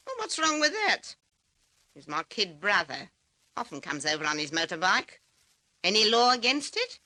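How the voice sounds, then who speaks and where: An actress playing a gruff, indignant older farm woman in an old-time radio drama, brusque and scornful. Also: medium pitch, sing-song, moderate pace, bright, forceful tone, no echo. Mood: defensive, scornful.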